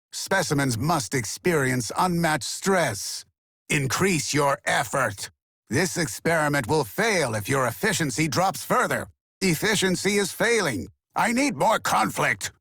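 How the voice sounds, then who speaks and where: Video game role: an imperious, machine-like scientist overseer. Formal, clipped, condescending, dry close sound. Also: male voice, mid-range voice, gravelly, a bit bright, breathy edge, no room sound, full character voice. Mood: demanding. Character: cold, pompous, exacting male machine-like scientist overseer. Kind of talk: scripted video game character dialogue.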